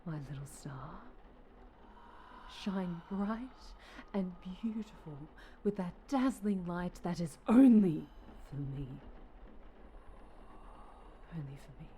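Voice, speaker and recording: A woman playing a sinister, obsessive, possessive female superhero in an ASMR roleplay, halting and menacing, often dropping into whispers. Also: on the low side, lively intonation, warm tone, a little hiss or hum. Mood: sinister, crooning.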